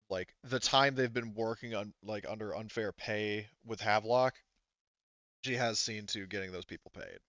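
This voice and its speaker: A man explaining rules as game master of a tabletop roleplaying game, haltingly, thinking aloud and correcting himself as he goes. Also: on the low side, bright, forceful tone, smooth, dry recording. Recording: slightly muffled, band-limited voice audio.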